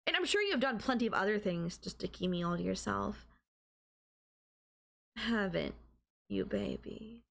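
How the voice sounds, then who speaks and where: A woman playing a doting, obsessive and calmly manipulative girlfriend in an intimate ASMR audio roleplay, coaxing and softly teasing. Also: mid-range voice, a little slow, gravelly, pauses often. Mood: knowing, coaxing. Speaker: voice actor.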